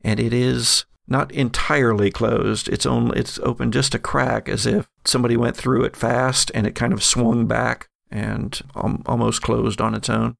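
Man, game master, creaky voice, descriptive horror narration, halting improvised phrasing, very dry recording. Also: mid-range voice, flat delivery, fairly bright tone, no echo.

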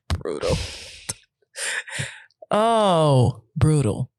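A woman co-hosting a podcast in casual banter, with a playful, slangy, expressive way of talking. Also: low-pitched, gravelly, dry recording. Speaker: podcast co-host.

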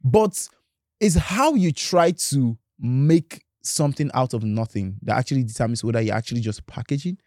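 A young man, talking relaxed in a casual panel chat and mixing in pidgin. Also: medium pitch, moderate pace, dry recording, clean studio sound.

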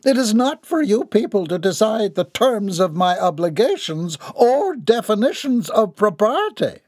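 A man's voice: high voice, sing-song, measured pace, a little mellow, no room sound, voice pushed up, full character voice. A man voicing an imperious, unashamed old aristocratic baroness who speaks formally and with authority. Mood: haughty.

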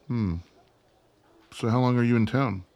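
A man's voice: mid-range voice, steady tone, measured pace, darkish tone, natural voice. A man in a radio play playing a guarded, awkward lone traveler who speaks tersely and hesitantly.

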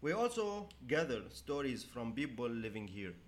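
A man from Syria co-hosts a language podcast, speaking slowly and carefully. He sounds a little distant from the microphone. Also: mid-range voice, sing-song, slight background noise. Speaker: podcast co-host, Arabic teacher.

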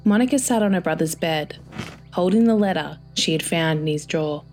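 A woman reading a scripted short story as narrator, in a measured storytelling manner. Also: low voice, darkish tone, faint music behind.

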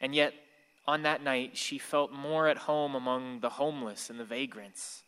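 Guy acting a wistful bitter son in a radio play, forceful mid voice, long echo. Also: mid-range voice, steady tone, moderate pace, clear, resonant tone, natural voice. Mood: brooding. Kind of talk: dramatic monologue and radio drama dialogue. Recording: long hall reverb.

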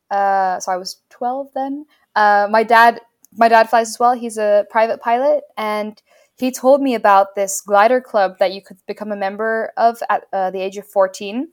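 A young woman from Tanzania, a pilot guest answering interview questions enthusiastically with many fillers and restarts. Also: a little high. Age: young adult.

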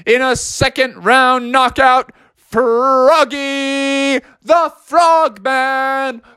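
A man voicing a grandly ceremonious boxing ring announcer with drawn-out delivery. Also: very high voice, little pitch movement, sharp tone, clean tone, dry recording, voice pushed up, exaggerated voice. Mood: triumphant.

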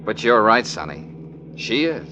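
A sardonic private detective in an old-time radio drama. His voice is bright and forceful, and his dry wisecracks swing freely in pitch. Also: big pitch swings, measured pace, a little hiss or hum, full character voice, low-quality recording. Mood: sardonic, teasing. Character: wisecracking hard-boiled male private detective, brisk and sardonic. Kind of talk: 1940s radio drama acting.

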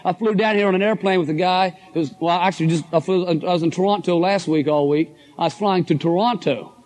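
A middle-aged man with a hoarse voice, giving an enthusiastic, folksy motivational talk to a live audience. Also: sits high, brisk, darkish tone. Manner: folksy, enthusiastic, addresses crowd as guys and folks. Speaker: motivational speaker at a business convention.

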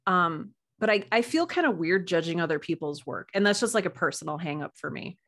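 A woman's voice: lowish voice, animated, fairly quick, fairly bright tone. A woman chatting casually and informally as an interview guest, with emphatic little asides. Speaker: podcast guest, author.

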